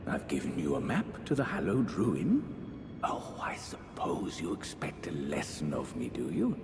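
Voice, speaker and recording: Courtly fantasy sorcerer, unhurried, ornate and theatrical, echoing hall around him. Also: male voice, a bass voice, huge pitch swings, vocal fry, over music, exaggerated voice. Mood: wry, probing. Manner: slow, ornate, musing, archaic phrasing. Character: grandiose, courtly elder sorcerer-priest, rapturous and brooding. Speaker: game character, sorcerer. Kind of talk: theatrical fantasy game acting. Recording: echoing hall reverb, background noise.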